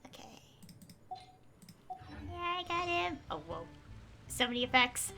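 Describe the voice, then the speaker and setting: A woman's voice: sits high, sing-song, measured pace, vocal fry, sharp tone, faint music behind. A woman chatting casually on a gameplay livestream, rambling and hesitant as she thinks aloud. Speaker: game streamer.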